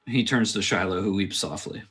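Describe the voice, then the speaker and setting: A man's voice: lowish voice, normal speed, fairly bright tone. A man narrating stage directions from a script at a table read.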